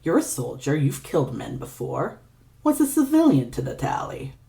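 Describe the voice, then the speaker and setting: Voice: mid-range voice, wildly swooping pitch, normal speed, vocal fry, warm tone. A performer voicing a sardonic male villain scientist in an audio story, theatrical and taunting, with a dry drawl. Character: sardonic male villain scientist, needling his old lover.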